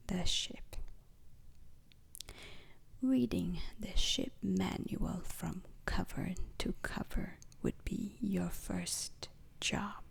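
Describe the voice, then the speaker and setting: A woman giving a whispered ASMR roleplay lecture as a calm, methodical space-mission instructor, breathy and crackly, pausing deliberately between short phrases. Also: fairly low pitch.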